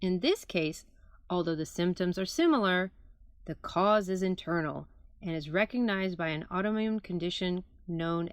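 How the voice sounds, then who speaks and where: A woman's voice: lowish voice, smooth, no room sound, studio quality. A woman, an optometrist, reads her own clinical article as formal, explanatory scripted narration.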